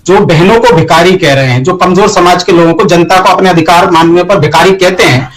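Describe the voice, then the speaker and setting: High-pitched, insistent man in debate. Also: fairly quick, poor audio. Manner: combative, insistent, rhetorical questioning. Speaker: political debate panelist. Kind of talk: heated televised political debate.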